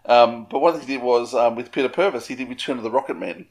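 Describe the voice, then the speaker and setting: A man co-hosting a podcast, chatting casually in a halting way with restarts. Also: mid-range voice. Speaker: podcast co-host. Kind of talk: casual chat.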